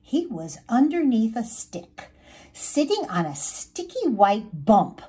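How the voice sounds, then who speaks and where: A woman reads a children's storybook aloud in an animated, swooping voice. She takes her time, stretching key words for emphasis, and her tone has a husky edge. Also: fairly high pitch, slow. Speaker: children's author reading aloud. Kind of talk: expressive storybook narration.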